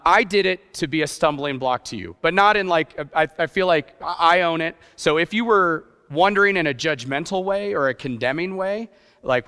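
A youth pastor preaching a sermon in a conversational way, with rhetorical questions and playful asides. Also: male voice, a little high, a bit bright. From grew up partly in Japan. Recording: long hall reverb.

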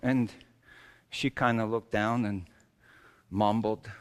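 A man playing Joseph, a wry, bewildered carpenter, in a halting, colloquial and theatrically emotional dramatic monologue. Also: fairly low pitch, fairly even intonation, a little hiss or hum, voice pushed down. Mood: hurt, subdued. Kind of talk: dramatic comic monologue in a sermon. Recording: echoey hall with long reverb.

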